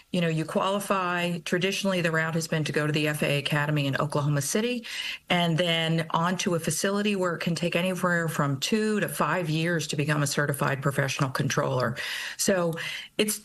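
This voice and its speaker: A woman's voice: lowish voice, a bit bright. A middle-aged American woman speaking as an expert guest in a news interview, explaining things conversationally and often checking with a short tag question. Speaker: college president, interview guest.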